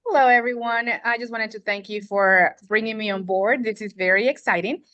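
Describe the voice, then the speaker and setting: Bright-voiced woman from the Dominican Republic. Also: a little high, fairly even intonation, a little fast, no room sound, lo-fi sound. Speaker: business owner guest. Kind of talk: panel introduction.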